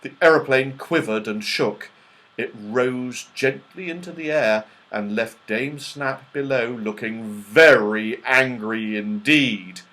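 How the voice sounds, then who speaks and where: A man reading a children's story aloud in an animated, carefully enunciated way, as an audiobook narrator. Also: medium pitch, slow pace, poor audio. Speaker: audiobook reader.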